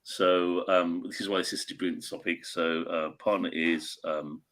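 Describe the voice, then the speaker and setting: A man's voice: deep voice, steady tone, normal speed, a bit bright. A man in his late fifties chatting casually on a video call, rambling and going off on tangents. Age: 50s. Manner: rambling, self-interrupting. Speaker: co-host. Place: small home room or shed, indoors. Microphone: webcam on video call.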